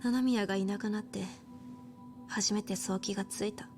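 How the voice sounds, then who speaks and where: A woman's voice: medium pitch, fairly even intonation, fast, darkish tone, faint music behind, natural voice. An actress playing a grieving, headstrong young woman in a Japanese video game drama, bitter yet vulnerable. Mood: somber, reflective.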